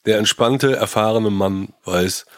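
A man's voice: lowish voice, a little slow, no room sound, clean studio sound. A middle-aged man chatting casually as a podcast co-host and audio critic. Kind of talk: casual chat.

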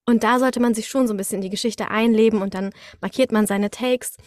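A young woman chatting casually as a guest, telling anecdotes in a lively, slangy way. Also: fairly high pitch, fast talker, no echo, clean studio sound. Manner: animated storytelling with slangy, colloquial wording. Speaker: guest, voice actress.

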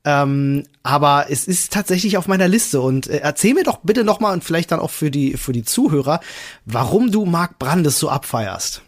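Young man, husky voice, lively intonation, slangy, casual co-host. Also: a little high, normal speed. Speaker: podcast co-host. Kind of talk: casual chat.

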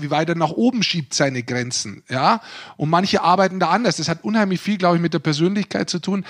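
Male podcast co-host, slightly high voice, earnest and philosophical, feeling his way through sentences. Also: a bit bright. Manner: earnest, philosophizing, searching for words. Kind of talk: casual reflective podcast talk.

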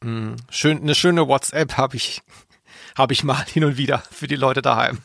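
A man's voice: medium pitch, steady tone, normal speed, vocal fry, fairly bright tone, no room sound, studio quality. A man co-hosting a podcast in a casual, conversational style. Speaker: podcast co-host.

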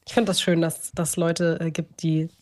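A woman's voice: lowish voice, flat delivery, moderate pace, a little mellow, slight background noise. Enthusiastic woman in casual podcast chat. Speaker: podcast co-host.

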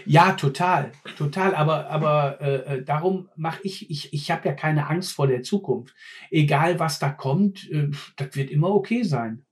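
A man talks bluntly in a relaxed chat. His voice sits a touch high for a man, and he keeps circling back on his own words as he hunts for the next thought. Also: measured pace. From Ruhr area, Germany. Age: 50s. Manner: blunt, repeats words while searching. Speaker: former mine fitter, guest. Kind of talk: casual conversation.

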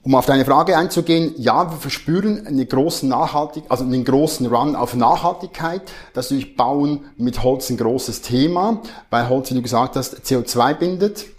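A man's voice: mid-range voice, normal speed, hardly any pauses. Swiss-accented man in his forties. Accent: Swiss German. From Switzerland. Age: 40s. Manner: earnest, with hesitations and self-corrections. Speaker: guest, marketing head. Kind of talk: podcast interview.

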